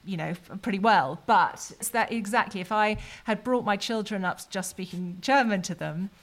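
A woman's voice: medium pitch, moderate pace, a bit bright. A woman in her forties hosting a podcast interview, chatting and telling anecdotes with plenty of fillers. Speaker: podcast host.

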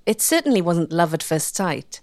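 A woman narrates a documentary from a script. Her pitch moves around expressively, and the sound is dry and close. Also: big pitch swings, moderate pace, a little mellow, no room sound.

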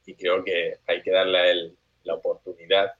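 A man's voice: deep voice, little pitch movement, normal speed, a bit bright. A man co-hosting a podcast in casual chat, recorded with dull, muffled sound. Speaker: podcast co-host.

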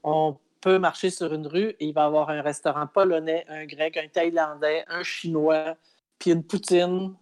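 A woman's voice: deep voice, fairly even intonation, normal speed, darkish tone. A woman from Quebec, talking casually and emphatically as an interview guest, telling anecdotes. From Quebec, Canada.

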